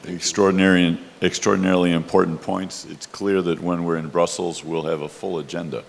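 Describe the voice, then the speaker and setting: A man's voice: deep voice, level tone, fairly quick, vocal fry. A man moderating a panel, affirming points and posing questions to the speakers. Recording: echoey hall, faint background noise.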